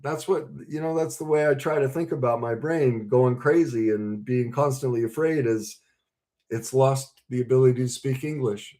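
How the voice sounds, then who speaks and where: A man speaking as a relationship coach, earnest and emphatic, breaking his talk into short, deliberate phrases. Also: mid-range voice, warm tone. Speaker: relationship coach and podcast host. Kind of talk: coaching talk to camera with role-played example conversations.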